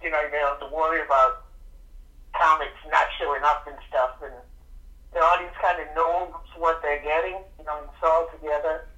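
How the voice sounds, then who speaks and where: A man's voice: fairly high pitch, flat delivery, normal speed, pushed and bright, highs cut off. A middle-aged man from the Bahamas, a comedian guest talking casually in an interview, rambling with many restarts.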